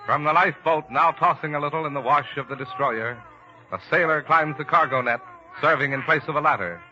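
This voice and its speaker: An actor playing a solemn, declamatory narrator in the style of 1940s radio drama. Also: male voice, fairly high pitch, brisk, pushed and bright, a little hiss or hum. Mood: solemn, dramatic. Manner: declamatory, emphatic. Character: solemn, dramatic male documentary announcer. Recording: muffled old 1940s radio recording.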